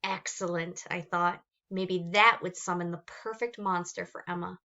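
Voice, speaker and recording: A woman reading a children's picture book aloud with expressive narration. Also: lowish voice, moderate pace, highs cut off. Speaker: storybook reader.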